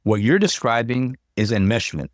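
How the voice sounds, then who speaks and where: A man voicing a calm female therapist in a narrated story, explaining in a measured way. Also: mid-range voice, no echo, studio quality, lightly acted.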